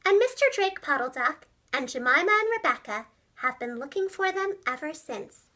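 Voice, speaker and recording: A woman telling a children's storybook tale expressively and clearly, as if to young children. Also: high-pitched, expressive, normal speed, pushed and bright. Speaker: storyteller, preschool teacher and voice actress. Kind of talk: animated children's storybook narration.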